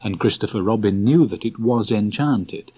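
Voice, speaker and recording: A man's voice: on the low side, moderate pace, husky. A man narrating a children's story from a script as an audiobook reader. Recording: dull restored cassette tape.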